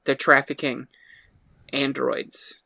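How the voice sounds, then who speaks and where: A man playing a depressed lone detective in an improvised scene, terse, deadpan and threatening. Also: medium pitch, slow, vocal fry, slightly rough, no echo, full character voice. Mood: businesslike. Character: gruff depressed lone detective, male, grieving his wife.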